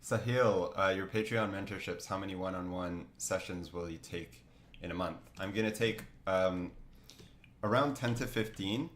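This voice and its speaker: Low male voice, sniffly from a cold, loose rambling livestream talk, roomy faint-noise recording. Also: normal speed, a bit bright. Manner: rambling, many fillers and restarts. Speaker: artist streaming a drawing session. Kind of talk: casual livestream chat while drawing.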